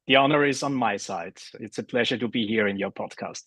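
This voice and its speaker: A man's voice: fairly low pitch, brisk, fairly bright tone, dry recording. A man from Austria, a guest chatting fluently and easily on a podcast. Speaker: guest, sports DJ. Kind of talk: casual interview chat.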